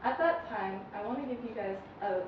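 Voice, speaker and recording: A woman's voice: medium pitch, brisk, highs cut off. A woman moderating a panel, explaining the procedure step by step. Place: public meeting room. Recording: noisy echoey room from far off.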